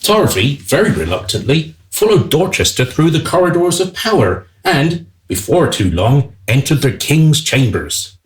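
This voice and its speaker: A man's voice: on the high side, very animated, a little slow, few pauses, rough recording. A man playing an arch, playful narrator of a comic historical radio play. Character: arch, playful male storyteller of a historical farce.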